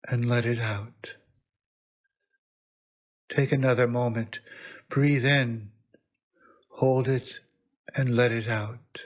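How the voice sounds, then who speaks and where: A man speaks as a priest leading a guided prayer meditation. His delivery is extremely slow and reverent, broken into short phrases with long pauses. The pitch is ordinary for a man.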